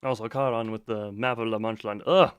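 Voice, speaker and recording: A man's voice: on the low side, fairly even intonation, brisk, clear, resonant tone, no room sound. A man live-streaming a game, chatting casually to viewers, muttering asides and reading dialogue aloud.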